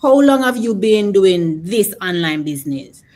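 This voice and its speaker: A woman's voice: medium pitch, animated, a little slow, a little mellow. A woman hosting an online interview, asking questions and affirming her guest. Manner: encouraging, affirming with "mm-hmm". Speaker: interview host.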